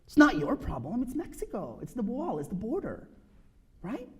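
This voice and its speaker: Filipino guy in his 30s giving a talk, kinda husky, earnest, keeps going "right?". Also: sits high, huge pitch swings, normal speed, darkish tone. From Philippines. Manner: earnest, emphatic, rhetorical "right?" asides. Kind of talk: personal talk to an audience.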